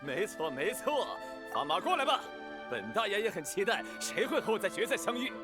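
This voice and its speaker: An actor playing a boastful, hot-headed and goofy gang boss in a Chinese game dub, with a bombastic, swaggering delivery. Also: male voice, high voice. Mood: confident, jolly.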